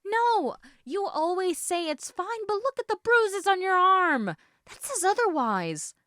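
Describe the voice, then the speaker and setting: A woman playing a gentle, protective, indecisive snake-woman in an intimate audio roleplay, speaking in a soft, hesitant, caring manner. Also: very high voice, very animated, unhurried, dry recording, studio quality, heavily acted. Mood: flustered, exasperated.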